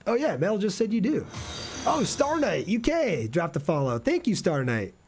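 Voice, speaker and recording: A man's voice: high voice, wildly swooping pitch, normal speed, a little mellow, faint music behind. Casual man streaming a video game. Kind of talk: casual livestream gameplay commentary with chat replies.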